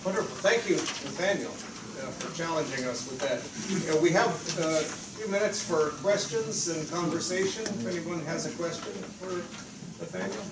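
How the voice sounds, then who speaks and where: A man's voice: fairly high pitch, moderate pace. A man moderating the event who thanks the speaker and opens the floor for questions. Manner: brief, inviting questions. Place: lecture hall. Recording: echoey hall, voice from far off, loud background noise.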